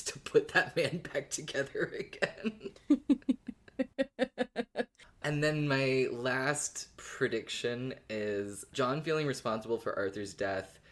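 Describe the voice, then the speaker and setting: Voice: medium pitch, fairly bright tone. Casual podcast co-host, mid-range voice. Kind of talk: casual fan discussion podcast.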